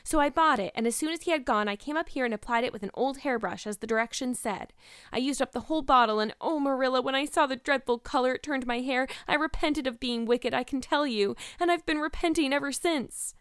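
A woman's voice: sits high, brisk, fairly bright tone, clear, resonant tone, no room sound, clean studio sound, voice pushed up. A woman voicing a despairing, wordy young girl in a storybook, pleading and earnest in a melodramatic way. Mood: earnest, confiding.

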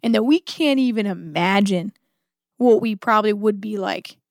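A woman with a mid-pitched voice speaks as a podcast co-host in a casual religious discussion. She is reflective and conversational, often saying "like" as she works through a thought. The recording is dry, with no room echo. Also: moderate pace.

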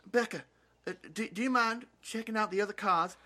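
A man's voice: high-pitched, brisk, sharp tone. A man playing a hesitant, folksy, helpful survivor in a zombie audio drama, stammering as he speaks. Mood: cautious.

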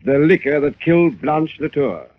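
An actor playing a smug, gloating official investigator, husky and emphatic, in old-time radio drama. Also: male voice, fairly high pitch, expressive, moderate pace, soft background music. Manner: self-satisfied, emphatic. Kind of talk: 1940s radio drama acting. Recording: tinny, narrow-band vintage radio recording.

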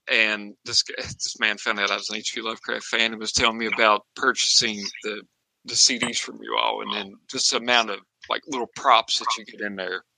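A man's voice: fairly low pitch, creaky, pushed and bright, no room sound, rough recording. A man interviewing guests on a podcast in a casual, chatty style. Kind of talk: casual chat.

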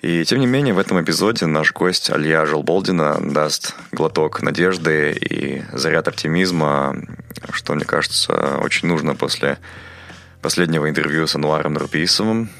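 A man hosting a podcast, speaking in a measured, earnest way while getting over a cold. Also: a bass voice, creaky, fairly bright tone. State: recovering from a cold. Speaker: podcast host. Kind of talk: podcast intro and interview questions.

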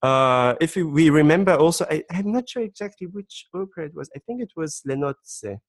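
A man talking as a guest on a podcast, explaining at length with many hesitant pauses and filler sounds. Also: on the high side, animated, no echo. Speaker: guest harpist. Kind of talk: casual podcast conversation.

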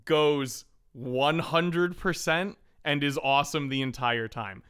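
Playful, clear-voiced man on a podcast. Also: a little high, slow pace, fairly bright tone. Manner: playful, giggly, jokey. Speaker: podcast co-host. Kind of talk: casual banter between co-hosts.

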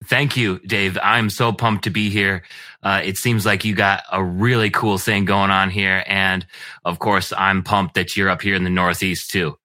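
Laid-back man with a very bright voice. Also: sits low, level tone, measured pace, dry recording. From Western New York. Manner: laid-back, chuckles often, rambling explanations. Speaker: guest musician. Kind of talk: casual interview chat.

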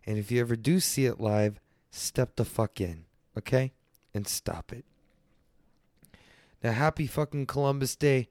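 A man's voice: medium pitch, measured pace, pauses often, a little mellow, no echo, clean studio sound. A man hosting a crude solo comedy podcast, rambling loosely and swearing freely. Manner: profane, loose and rambling, mock-defensive. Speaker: podcast host.

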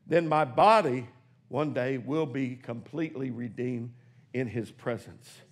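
A man in his sixties preaching a sermon in a church, swinging from hushed, quick asides to shouted exclamations. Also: mid-range voice. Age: 60s. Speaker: pastor. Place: church sanctuary.

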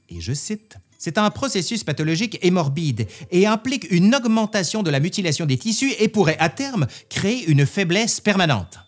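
A man presenting history in scripted narration, reading out quotations in an articulate, expressive way. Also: fairly high pitch.

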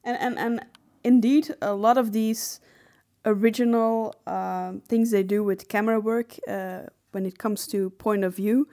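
A woman podcast co-host in a casual discussion of film. She talks analytically at a medium pitch, with hesitant pauses as she searches for the right phrase. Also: steady tone, warm tone.

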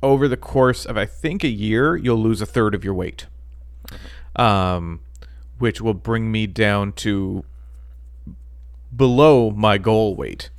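A man co-hosting a podcast in casual chat, talking haltingly with many ums and restarts. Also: lowish voice, dry recording. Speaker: podcast co-host.

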